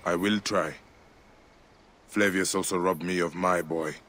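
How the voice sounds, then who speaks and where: A man's voice: deep voice, fairly even intonation, unhurried, fairly bright tone, long reverb tail. An actor voicing a grim, relentless warrior father seeking vengeance in a video game cutscene, terse and solemn.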